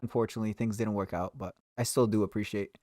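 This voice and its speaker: A man's voice: lowish voice, fairly even intonation, fast, mellow, dry recording, clean studio sound. A young man co-hosting a podcast, chatting in a rambling, friendly way and steering the conversation. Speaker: podcast co-host. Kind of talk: casual chat.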